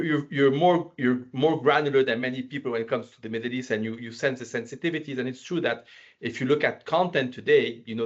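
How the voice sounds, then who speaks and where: A man interviewing a guest, asking long questions with repeated words and hesitations. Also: medium pitch. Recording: dull lo-fi audio.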